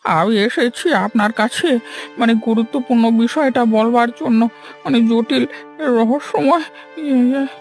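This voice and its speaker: Casting: a woman as a timid cartoon village man, high-pitched, panicky stammer, pleading manner, over music. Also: measured pace, darkish tone. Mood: nervous. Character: frightened, cowardly village man pleading for his life. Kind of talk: Bengali cartoon voice acting.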